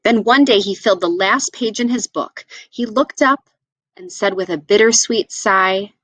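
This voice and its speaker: A woman reading a picture book aloud to children, with expressive, deliberate phrasing. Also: medium pitch, dry recording. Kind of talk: read-aloud storytelling for children.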